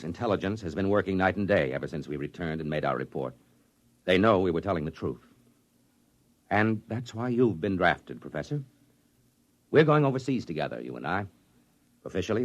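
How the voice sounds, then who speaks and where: An actor narrating as a male astronaut in a 1950s radio drama, measured and dramatic. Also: low-pitched, heavily acted. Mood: confiding. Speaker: narrator in the story. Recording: old radio broadcast with music beneath.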